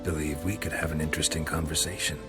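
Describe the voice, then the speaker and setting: A man's voice: very deep voice, flat delivery, fast. An actor playing a terse, dry middle-aged male monster hunter in video game dialogue, with game music behind. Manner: terse, dry, clipped sentences.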